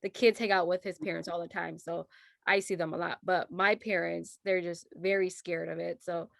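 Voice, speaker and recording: Gravelly woman, halting speech. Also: fairly low pitch, level tone, fairly bright tone, dry recording, studio quality. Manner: halting, self-correcting. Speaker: panelist. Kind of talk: casual panel discussion.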